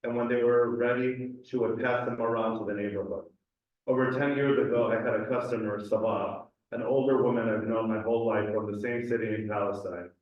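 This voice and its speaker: A young adult man from Cleveland reading a personal essay aloud at a community gathering, earnest and measured. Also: mid-range voice, fairly even intonation. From Cleveland, USA. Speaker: community speaker. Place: community event hall. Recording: echoey hall, distant and dull mic.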